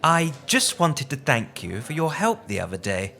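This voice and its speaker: A man voicing a flirtatious wealthy woman with a smooth, coaxing manner in an audio drama. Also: a little high, huge pitch swings, moderate pace, sharp tone, exaggerated voice.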